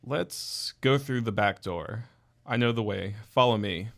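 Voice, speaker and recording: A man's voice: on the low side, unhurried, creaky. A man playing a coolly confident, eerie middle-school boy in an amateur radio drama, speaking matter-of-factly. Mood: calm, guiding.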